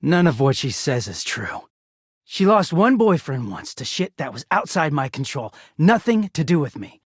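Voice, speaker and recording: A bitter, sardonic young guy in a video game, a living pile of dirty laundry. His delivery swings widely between muttering and exasperation, and the sound is dry and a little husky. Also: on the high side, big pitch swings, moderate pace, no echo. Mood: bitter, resentful. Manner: sardonic, foul-mouthed, swinging from muttering to shouting.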